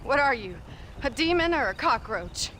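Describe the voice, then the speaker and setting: A woman playing a sharp-tongued rancher's daughter, sarcastic and haughty, with an American accent in a western video game. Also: high-pitched, sing-song, normal speed, a little hiss or hum, exaggerated voice. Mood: scornful.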